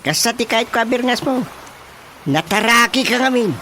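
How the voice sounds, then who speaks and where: An actor with an Ilocano accent playing a teasing, drawling village official in a radio comedy drama. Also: male voice, extremely high pitch, expressive, moderate pace, sharp tone, a little hiss or hum, heavily acted.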